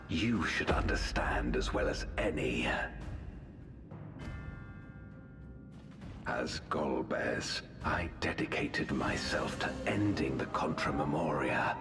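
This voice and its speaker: Grave video game villain, extremely deep, gravelly, solemn archaic phrasing, remorseful, unhurried. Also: male voice, heavily acted. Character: fallen armored villain, male, grave, remorseful, grandiose. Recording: roomy echo, loud music underneath.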